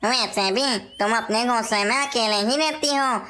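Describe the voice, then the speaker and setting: A woman plays an anxious parrot king in a children's cartoon. The voice is high and very bright, with an earnest, declamatory delivery of a dutiful ruler and father. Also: normal speed. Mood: instructing. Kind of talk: Hindi cartoon voice acting.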